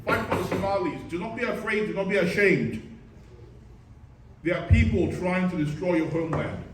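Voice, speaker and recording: Man, higher-pitched, rousing political orator, emphatic and repetitive, recorded at a distance in a noisy room. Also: normal speed. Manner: emphatic, exhortative, repeating phrases. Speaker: political speaker at a community reception. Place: reverberant reception room, speaking to a gathering. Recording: echoey, distant hall recording with background noise.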